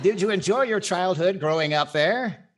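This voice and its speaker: Guy doing old time radio comedy host, dry wisecracks, highish animated voice, noisy recording with clicks. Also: moderate pace. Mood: wry. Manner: wry, scripted wisecracks. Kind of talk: 1940s radio comedy acting. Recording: clear background noise and clicks.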